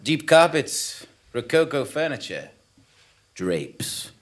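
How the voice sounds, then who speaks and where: A man's voice: medium pitch, sing-song, takes it slowly, very noisy. A man playing a pompous investigative journalist, narrating his case in florid, melodramatic style for a comic audio drama. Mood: pompous, dramatic.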